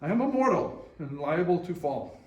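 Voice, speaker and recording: Echoey room, man voicing old miser, anxious, pleading, halting, highly animated pitch, readers theater. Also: muffled recording. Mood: wary, reluctant. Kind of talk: readers theater stage reading.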